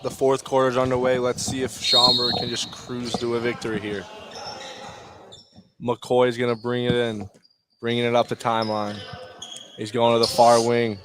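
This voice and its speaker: A man's voice: mid-range voice, monotone, moderate pace, long pauses. A man doing live basketball play-by-play on radio, calling the action in clipped short phrases. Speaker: play-by-play announcer. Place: indoor high school basketball gym. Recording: noisy gym ambience, bouncing balls. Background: game noise, basketball bounces.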